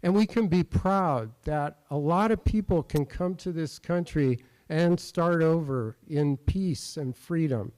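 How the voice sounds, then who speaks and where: A man's voice: fairly high pitch, a little slow, few pauses, darkish tone, low-quality recording. A man giving short, earnest public remarks at an event.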